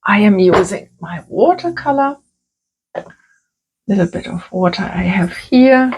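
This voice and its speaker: A woman working as a creative therapist, talking gently and softly through a calm crafting tutorial. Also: medium pitch, slight background noise, low-quality recording. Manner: gentle, soft-spoken, unhurried.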